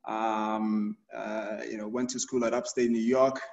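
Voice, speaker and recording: A middle-aged man from Ghana, a urologist, giving an informal health talk over a video call, halting with many fillers and restarts. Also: on the low side, level tone, a little mellow. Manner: hesitant, many fillers and repeated starts. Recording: roomy, distant, dull video-call audio.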